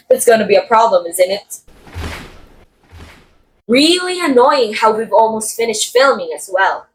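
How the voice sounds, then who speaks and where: Woman playing a haughty, high-voiced, animated film actress. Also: measured pace, pauses often, a little hiss or hum, poor audio. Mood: breezy, teasing. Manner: theatrical, sardonic, drawling some words for emphasis. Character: haughty, catty film actress, condescending yet playfully menacing. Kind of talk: ASMR roleplay monologue, voice acting.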